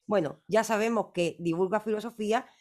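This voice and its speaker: A woman interviewer with a voice in the middle range, talking casually. She rambles through her questions and slips in teasing comments. Her delivery is relaxed and conversational. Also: mid-range voice, brisk. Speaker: interviewer host.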